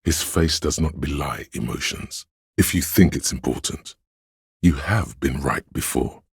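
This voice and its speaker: A man narrating a game script in a measured, grave, literary manner, with a very deep, gravelly, husky voice. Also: dry recording, studio quality.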